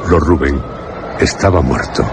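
A man narrating a radio horror drama from a script, with grave, deliberate phrasing and dramatic pauses. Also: extremely low pitch, heavy background noise.

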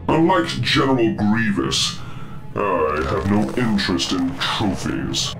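An actor playing a menacing, pompous video game villain who taunts and gloats. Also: male voice, on the low side, sing-song, slow, music in the background. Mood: gloating, menacing.

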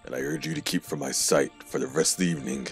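A man voicing a stern, contemptuous, towering dark-knight general in a fantasy audiobook, with a heavy, commanding menace. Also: rumbling low, sing-song, quick pace, gravelly, faint music behind, exaggerated voice. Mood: scornful menace.

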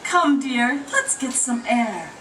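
A woman's voice: on the high side, sing-song, takes it slowly. A woman playing a tender, obedient nanny in a children's puppet show, theatrical and caring. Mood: gentle. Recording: distant, very noisy puppet theater recording.

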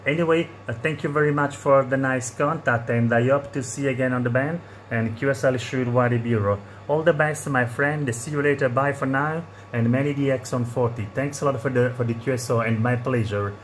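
A man's voice: mid-range voice, fairly even intonation, brisk, few pauses. A man from Italy with an Italian accent, a native Italian speaker, making an amateur radio contact with phonetic call signs and repeated phrases. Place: indoors at a radio set. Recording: noisy handheld room recording.

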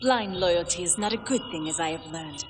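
A woman's voice: on the low side, brisk, close to an everyday voice. A woman playing a cool, loyal warrior bodyguard in a video game, composed, confident and wry. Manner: composed, formal. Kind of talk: video game cutscene acting. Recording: long reverb over loud music.

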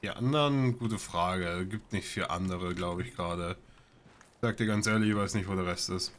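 A relaxed man with a fairly low voice chats with his squad mid-game, easygoing and joking. He talks through a headset with clear gunfire and game noise behind him. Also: moderate pace. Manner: relaxed, joking, laid-back. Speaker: player in a military simulation game. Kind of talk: casual squad chatter during gameplay.

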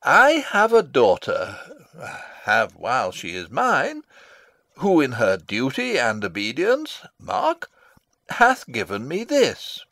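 Pompous old royal advisor, shakespeare style, slow n rambling, super swoopy pitch. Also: male voice, huge pitch swings, pushed and bright, no echo, full character voice. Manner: rambling, self-satisfied, theatrical. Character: pompous, long-winded old male royal counsellor and father. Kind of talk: classical Shakespearean stage acting, dramatic reading.

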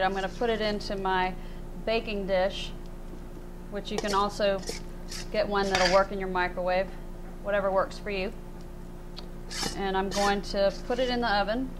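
A woman's voice: medium pitch, background noise. Chatty woman hosting a cooking show. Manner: chatty and friendly, with y'all and fillers. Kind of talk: casual cooking demonstration. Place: large studio kitchen indoors.

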